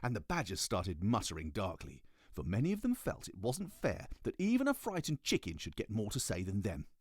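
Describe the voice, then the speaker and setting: A British man narrating a children's story in an animated, expressive audiobook style. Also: fairly low pitch, a little fast, breathy edge, dry recording, clean studio sound.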